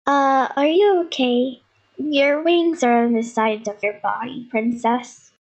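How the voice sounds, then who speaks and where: Woman as a high-voiced earnest pony princess. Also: fairly even intonation, slow pace, dull audio, heavily acted. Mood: puzzled. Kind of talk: fan audio drama acting.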